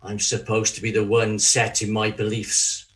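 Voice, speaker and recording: A man playing a remorseful betrayer in a dramatic church monologue, halting, anguished and confessional as he pleads. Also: lowish voice, completely flat delivery, a bit bright, lightly acted. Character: Judas, remorseful betrayer haunted by guilt, pleading.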